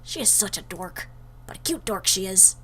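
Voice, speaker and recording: A woman's voice: mid-range voice, expressive, normal speed, pushed and bright, a little hiss or hum, poor audio. A woman voicing a fierce, blunt female fish warrior from a game who is awkward about love. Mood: earnest. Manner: blunt, brash.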